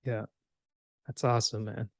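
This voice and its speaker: A man's voice: lowish voice, level tone, normal speed, gravelly, no room sound, clean studio sound. A man from Cameroon who grew up in Georgia, hosting a podcast in an informal, rambling way that slips into imagined remarks. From Cameroon, grew up in Georgia, USA. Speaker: podcast host. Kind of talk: casual podcast conversation.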